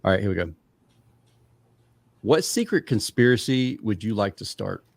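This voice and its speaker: A man's voice: fairly low pitch, sing-song, moderate pace, gravelly, no echo. A man hosting a podcast, chatting loosely and jokingly with lots of fillers. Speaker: podcast host. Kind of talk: casual interview chat.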